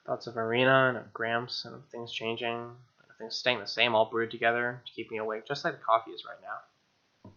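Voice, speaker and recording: A man's voice: mid-range voice, bright, forceful tone, dull audio. A man reading a visual novel aloud as a gamer, mixing narration with informal, slangy asides. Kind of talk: dramatic reading with casual asides.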